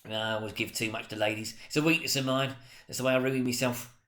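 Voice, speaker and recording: A man's voice: medium pitch, steady tone, quick pace, fairly bright tone, lightly acted. A man voicing a sly, grudging old male junk dealer, haggling and wheedling in a dramatic storybook reading.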